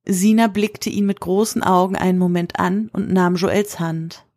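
A woman with a husky voice reads scripted literary narration for an audio drama. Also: on the low side, fairly even intonation, a little slow, darkish tone, no room sound, clean studio sound.

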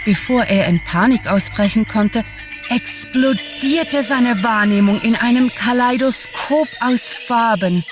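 A woman's voice: fairly high pitch, takes it slowly. A woman narrator reading a scripted story as a storyteller. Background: music.

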